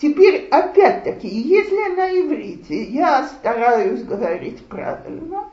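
A woman giving a lecture on raising children, talking in a slow, measured way with frequent pauses to think. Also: high voice, very animated, warm tone. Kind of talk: lecture with audience discussion. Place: lecture room with audience. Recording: roomy, muffled lecture recording.

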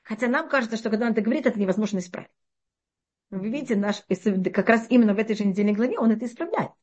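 A middle-aged woman leads a text-study class, talking fast and asking her listeners questions as she explains. Her voice sits a bit high and never slows for long. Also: breathy edge, muffled recording. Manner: animated explaining with frequent restarts and rhetorical questions. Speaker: Torah teacher. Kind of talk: interactive religious class.

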